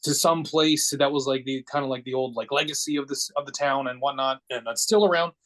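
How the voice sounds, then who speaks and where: American male guest, forty, average pitch, casual rambling storyteller with a matter-of-fact delivery. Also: steady tone, brisk, a bit bright. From Connecticut, United States. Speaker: podcast guest.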